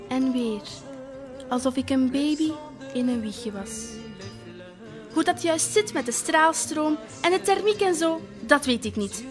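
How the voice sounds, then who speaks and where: A woman playing a naive, wistful talking flower in an audio drama, with a Flemish accent and expressive colloquial storytelling. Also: sits high. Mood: dreamy, soothed. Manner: colloquial, expressive, lingering over words.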